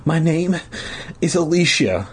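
An adult reader voicing a frantic, sobbing little girl in a story, shrill and stammering. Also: very animated, a little slow, slightly rough, full character voice. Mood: urgent.

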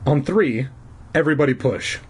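Man voicing a raspy, frightened, insistent kid. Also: mid-range voice, normal speed. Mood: urgent. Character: frightened, insistent schoolkid narrator of a scary story.

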